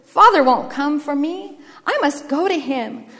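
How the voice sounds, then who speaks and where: A woman's voice: high voice, animated, unhurried, fairly bright tone, big-room sound, pitched above the performer's own voice. A woman voicing a guilt-ridden young man who is desperate and stubborn, speaking in an earnest, insistent, dramatic way. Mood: anguished, determined.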